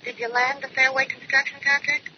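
An actress playing a contractor's anxious, pressing wife in 1940s radio drama style, insistent and expressive. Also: female voice, sits high, a little fast, natural voice. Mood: worried, pressing. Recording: noisy, muffled old-time radio recording.

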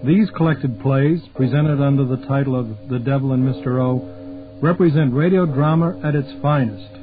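A man's voice: medium pitch, moderate pace. A man announces a radio theater show in a theatrical, scripted style. His mid-range voice comes through a narrow, phone-like band with prominent music underneath. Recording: very muffled, phone-like sound.

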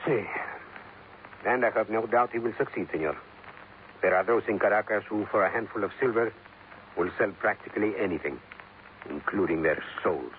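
An actor playing a calm, stubborn police captain with a Spanish accent, speaking formally and deliberately in a 1950s radio drama. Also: male voice, on the low side, narrow, tinny audio, lightly acted. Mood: grave, resigned. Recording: noisy, thin 1950s radio recording.

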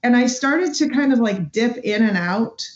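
A woman's voice: fairly high pitch, animated, normal speed. A woman talking casually and candidly as a podcast guest, reflecting in an introspective way. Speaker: podcast guest, audio drama actor. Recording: dull, roomy, echoey audio.

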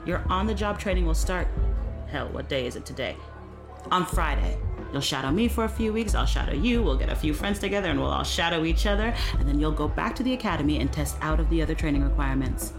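A middle-aged police captain speaks low, with music clearly behind her. She is brisk, wry and motherly, and used to getting her way. The delivery is natural audio drama dialogue with a folksy, persuasive push. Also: lively intonation. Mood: pleased, brisk.

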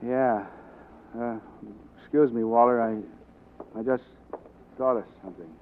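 A man's voice: mid-range voice, fairly even intonation, a little slow, natural voice. An actor playing a wry, easygoing newspaperman in a casual 1940s radio drama manner. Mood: sheepish. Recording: noisy narrow-band old radio recording.

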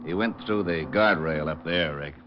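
A gruff, exasperated police lieutenant, as a man plays him, brusque and quick to bark orders in 1940s radio style. His voice is very deep. The sound is muffled like an old radio broadcast. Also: background noise, close to an everyday voice.

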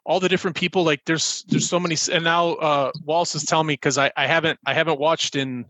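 A man's voice: a little high, fairly even intonation, fast, bright, forceful tone, dry recording. A man co-hosting a podcast, chatting casually in a rambling, self-deprecating way. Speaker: podcast co-host. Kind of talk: casual chat.

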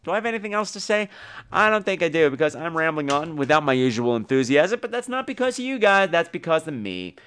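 A man's voice: a little high, big pitch swings, fast, fairly bright tone, smooth. A man hosting a show, talking casually and rambling through reviews with theatrical emphasis. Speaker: podcast host. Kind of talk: casual solo review monologue.

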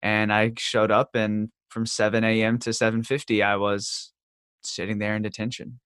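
A man's voice: lowish voice, little pitch movement, normal speed, fairly bright tone, smooth, no echo, clean studio sound. A man in his late twenties from Virginia, hosting a podcast with chatty, joking storytelling. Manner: chatty, jokey storytelling with lots of "like". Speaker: podcast host. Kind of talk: casual podcast chat.

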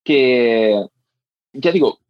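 A man's voice: medium pitch, animated, extremely slow, no room sound, highs cut off. A man explaining how attention and hearing work in an interview, thoughtful, often restarting and repeating words as he goes. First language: Spanish. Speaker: hearing research guest.

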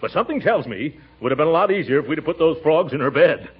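A man's voice: fairly high pitch, lively intonation, racing, natural voice. A man playing a pompous, stout middle-aged uncle, easily flustered, hemming his way through a 1940s radio comedy. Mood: pompous, doubtful. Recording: muffled old-time radio recording.

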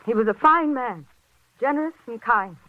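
An American actress playing a cool, guarded office nurse with clipped, formal speech in a vintage radio drama. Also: female voice, a little high, expressive, moderate pace, natural voice. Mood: guarded, controlled. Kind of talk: 1950s radio drama acting. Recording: muffled old radio recording.

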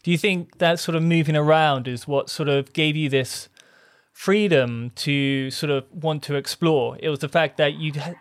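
A man hosting a podcast, giving a measured, welcoming presenter delivery in interviews and a scripted intro. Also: on the high side, clear, resonant tone, no echo, studio quality. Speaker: podcast host.

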